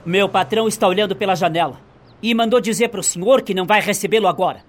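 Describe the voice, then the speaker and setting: High-pitched, officious Brazilian manservant actor. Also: male voice, close to an everyday voice. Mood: curt, dismissive. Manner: brisk, officious, rude. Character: curt, dismissive male servant relaying orders. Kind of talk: radio soap acting.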